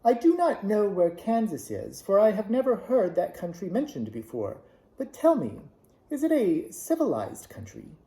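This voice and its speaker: A man voicing a kindly little old witch woman in a storybook reading, with a gentle, solemn manner, raised higher than his own voice. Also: wildly swooping pitch, moderate pace. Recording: echoey room.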